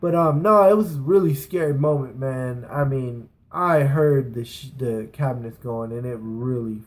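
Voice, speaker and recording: A man's voice: medium pitch, moderate pace. A man telling a casual, rambling personal story as a vlogger, slangy and emphatic, with plenty of swearing.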